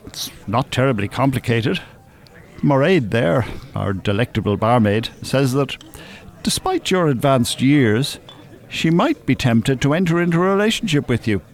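A male actor plays a well-spoken older gentleman from a radio play. His pitch moves in a lively way as he talks, with formal, wordy phrasing and a dry, teasing manner. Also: normal speed, natural voice. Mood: wry. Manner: formal, wordy, drily mocking. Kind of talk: radio drama dialogue.

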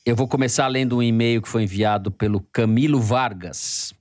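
A man's voice: medium pitch, moderate pace, darkish tone, no room sound. A man hosting a panel podcast with a Brazilian Portuguese accent, reading listener mail and teasing his co-hosts. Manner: joking, teasing banter. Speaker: podcast host.

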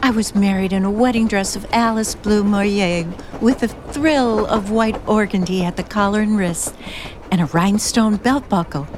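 An actress playing a naive, romantic, anxious newlywed bride in an old-time suspense radio drama, with gushing, halting speech. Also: female voice, medium pitch, noisy background, lightly acted. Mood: dreamy, pleased.

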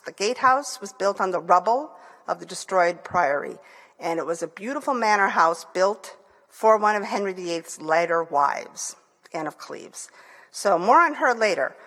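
A woman's voice: mid-range voice, lively intonation, measured pace, a bit bright. A woman from Michigan with a Michigan accent, an author talking eagerly about her novels, with frequent fillers and restarts. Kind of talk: book talk. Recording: long hall reverb.

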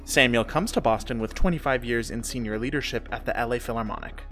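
A man's voice: mid-range voice, fast, a bit bright, faint music behind. A man co-hosting a podcast, giving casual remarks and reading short news items. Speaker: podcast co-host.

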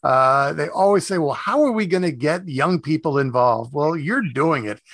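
A man hosting a conversational radio interview, speaking appreciatively and a little haltingly, repeating words as he goes. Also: fairly high pitch, expressive, fairly bright tone, dry recording.

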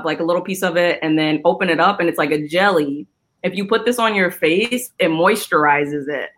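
A woman co-hosting a casual podcast, chatting loosely with frequent laughter. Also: on the low side. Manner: laughs often, relaxed drawn-out delivery. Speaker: co-host.

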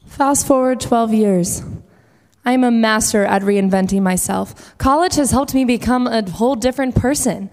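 A college woman of twenty played by an actress delivers a confessional monologue in a fairly high voice. She puts on confidence over inner emptiness, heard in a hall with long reverb. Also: moderate pace, a little mellow, natural voice. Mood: self-assured. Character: outwardly confident, inwardly empty twenty-year-old college woman. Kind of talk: dramatic monologue.

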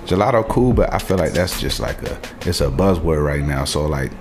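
A man from Portland with a deep voice, talking in a laid-back, slangy way as a producer on a podcast and laughing often. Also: lively intonation, fairly quick, a little mellow. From Portland, US West Coast. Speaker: music producer guest. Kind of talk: casual podcast interview.

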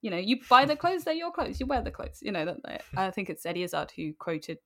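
A British woman in her late twenties talking candidly and informally as an interview guest, with an animated, emphatic manner. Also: mid-range voice, brisk. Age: late 20s. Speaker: podcast guest, writer.

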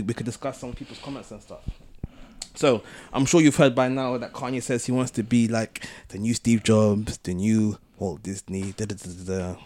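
A man's voice: medium pitch, a little mellow. Man musing casually, slightly noisy recording. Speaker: podcast co-host. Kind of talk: casual podcast discussion.

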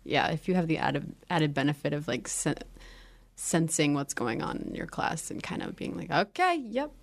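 Brief: young woman, yoga teacher, low-ish voice, relaxed chatty podcast host who laughs a lot. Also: moderate pace, creaky. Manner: laughs often, self-corrects. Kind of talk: casual podcast chat.

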